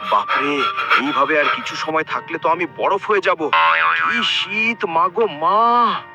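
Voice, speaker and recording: A man's voice: on the high side, a little slow. A man voicing cartoon figures and narrating in an exaggerated, theatrical way. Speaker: narrator and voice actor. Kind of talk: cartoon voice acting and storytelling. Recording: voice-over with music.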